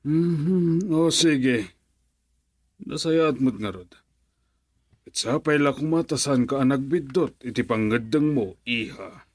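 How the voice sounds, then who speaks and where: A man acting a measured, questioning middle-aged father in a radio drama. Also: medium pitch, dry recording. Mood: accepting.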